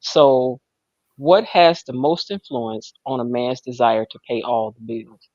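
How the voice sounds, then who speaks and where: A woman's voice: extremely low pitch, a little slow, darkish tone. A playful woman co-hosting a gossip show, teasing in with sing-song emphasis. The sound is dull and lo-fi. It is dry, with no room echo. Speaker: co-host. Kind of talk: casual gossip chat.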